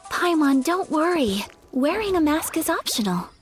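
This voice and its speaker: High-voiced, gracious young noblewoman. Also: big pitch swings, takes it slowly, heavily acted. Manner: formal, gracious, gentle, self-effacing. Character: polite, modest young noblewoman of a famous clan. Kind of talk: video game voice acting. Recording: music behind.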